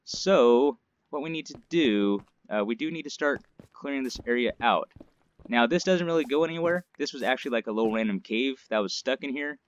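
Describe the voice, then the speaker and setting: A man's voice: medium pitch, lively intonation, brisk, muffled recording. Stuffed-up man chatting through gameplay. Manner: chatty, rambling, many fillers. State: stuffed-up nose from allergies. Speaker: gaming channel host. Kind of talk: casual let's-play commentary.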